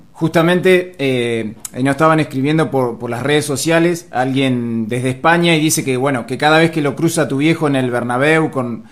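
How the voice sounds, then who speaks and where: Man, Argentine accent, interview host, mid-pitched voice, rambling filler-heavy questions. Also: normal speed. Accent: Argentine Spanish.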